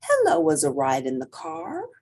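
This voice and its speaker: A woman reading a children's picture book aloud as an expressive storyteller with theatrical emphasis. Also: sits low, normal speed, gravelly, slightly rough.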